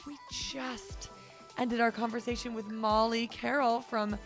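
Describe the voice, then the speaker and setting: A woman's voice: fairly high pitch, fairly even intonation, a little slow, soft background music. A woman hosting a live gaming show, chatting in a playful, giggly way. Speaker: stream show host. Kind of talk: casual live-stream chat.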